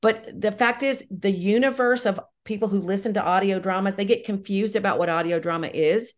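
A woman's voice: medium pitch, fairly quick. A middle-aged woman speaking as an interview guest, candid and emphatic in a reflective conversational way. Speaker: guest, audio drama writer and director.

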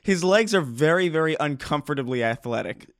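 A young man co-hosting a podcast in casual chat, talking excitably and restarting his sentences often. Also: fairly high pitch, a little fast. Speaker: podcast co-host.

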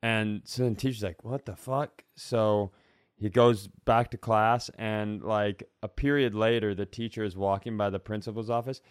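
A man's voice: fairly low pitch, steady tone, moderate pace, smooth, no room sound, clean studio sound. An American man tells a funny anecdote in a casual, profane podcast style, acting out bits of dialogue as he goes. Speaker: comedy podcast co-host. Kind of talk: casual comedic storytelling.

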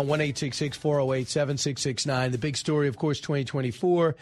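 A man's voice: a little high, no echo. An American man hosting live talk radio, giving rambling, conversational commentary and correcting himself as he goes. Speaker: radio show host. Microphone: close studio microphone.

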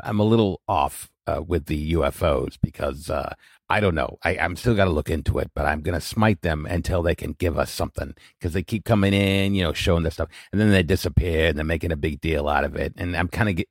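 A man with a deep voice co-hosts a comedy podcast. He improvises in a halting, rambling manner, and the sound is dry and close. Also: dry recording. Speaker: podcast co-host.

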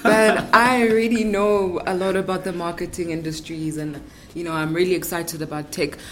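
A woman hosting a podcast, reading a measured, upbeat scripted introduction and then asking her guest questions. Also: fairly low pitch, steady tone, fairly bright tone, slight background noise. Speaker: podcast host.

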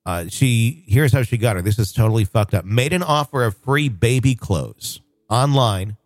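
A man's voice: mid-range voice, normal speed, no room sound. A man hosting a casual podcast, rambling with fillers and restarts. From northwest Arkansas, USA. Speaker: podcast host.

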